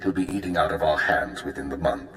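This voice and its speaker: A commanding low-voiced spymaster. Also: male voice, a bit bright, faint music behind. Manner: formal, commanding, measured. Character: brisk senior male intelligence handler. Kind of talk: video game cut-scene acting. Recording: roomy echo with faint noise.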